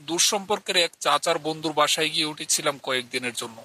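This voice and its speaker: A man voicing a polite young villager who tells a scripted ghost story in a measured, earnest way. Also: a little high, noisy background, lightly acted. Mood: earnest, uneasy. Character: polite young village man from a poor family recounting his ghost encounter. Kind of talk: scripted horror story narration with acted dialogue. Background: music.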